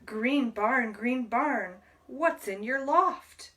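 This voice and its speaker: A woman reading a picture book aloud to young children as a library storyteller, deliberately, pausing to explain words. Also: a little high, pushed and bright, far from the mic, a little hiss or hum.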